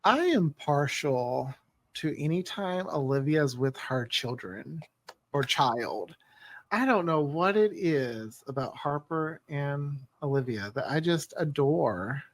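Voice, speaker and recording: A man's voice: a little high, lively intonation, slow pace, dry recording. A man talking casually as one of the writers on a behind-the-scenes podcast. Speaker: audio drama writer and podcast host. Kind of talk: casual chat.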